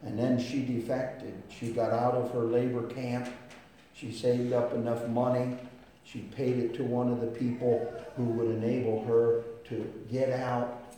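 A man preaching a sermon, swinging between hushed storytelling and loud, impassioned emphasis. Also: medium pitch, little pitch movement, measured pace. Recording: echoey room with faint noise.

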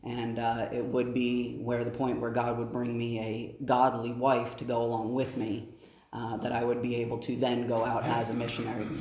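A man's voice: medium pitch, completely flat delivery, normal speed, darkish tone. A man who is a missionary, speaking earnestly and plainly to a church. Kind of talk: church talk. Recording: echoey church hall, faint noise.

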